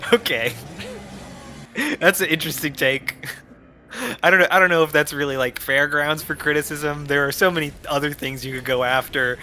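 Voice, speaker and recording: A man's voice: a little high, fairly even intonation, normal speed, pauses often, bright, forceful tone, a little hiss or hum. A man casually chatting on his gameplay stream, wry and laughing, reading viewer comments aloud. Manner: wry, reads chat messages aloud.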